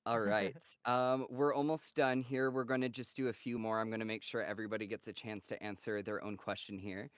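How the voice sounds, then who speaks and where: Guy hosting a cast q&a on a stream, low smooth voice, dry sound. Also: fairly quick, no echo. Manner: relaxed, upbeat, reads fan questions. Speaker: moderator of a voice cast Q&A.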